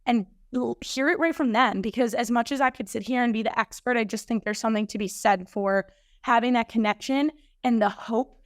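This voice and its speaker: A woman hosting a fitness podcast, slightly hoarse, chatting upbeat about coming news. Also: on the high side, fairly quick, no echo, clean studio sound. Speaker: podcast host and fitness coach. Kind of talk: casual solo podcast intro.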